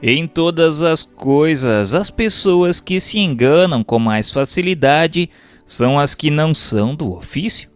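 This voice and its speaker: A Brazilian man reading formal scripted narration aloud in a measured, declamatory way, like an audiobook. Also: medium pitch. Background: music.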